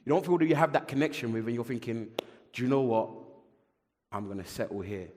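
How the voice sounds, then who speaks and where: A man in his twenties with a London accent, a debate panelist explaining his view in a measured way. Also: mid-range voice, lively intonation, darkish tone, slight background noise. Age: 20s. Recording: long reverb from the room.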